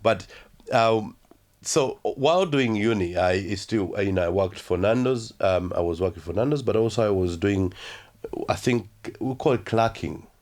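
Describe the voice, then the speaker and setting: A young man with a Ugandan accent, telling his story as an interview guest in a halting, reflective way full of fillers. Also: on the low side, a little mellow.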